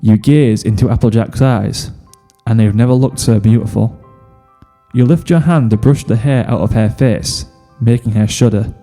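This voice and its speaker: A man's voice: medium pitch, moderate pace, mellow, big-room sound, faint music behind. A man reading a scripted second-person story as narrator. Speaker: fan fiction reader, narrator.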